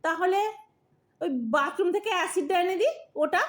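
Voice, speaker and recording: High-voiced, sarcastic old scolding wife woman acting. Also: moderate pace, a bit bright. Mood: mock-sweet coaxing. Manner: scolding, sarcastic, mock-sweet. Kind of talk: comic Bengali audio drama acting.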